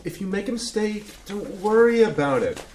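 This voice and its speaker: An actor playing an upbeat, flattering young interviewer who coaxes his subject, in a staged reading. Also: sits high, moderate pace, a little hiss or hum. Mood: coaxing. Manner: coaxing, upbeat, slangy. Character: trendy young male cookbook interviewer, eager and flattering. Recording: echoey theater room.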